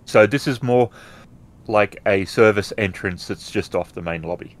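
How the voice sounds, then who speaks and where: A man's voice: fairly low pitch, normal speed. A man casually narrating and describing scenes as game master of a tabletop roleplaying game.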